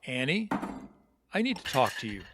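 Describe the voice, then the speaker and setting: A man playing an earnest, lovelorn suitor in an audio drama, speaking with hushed intensity. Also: mid-range voice, sing-song, moderate pace, slight background noise. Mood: earnest, pleading. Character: earnest lovelorn man quietly confessing love.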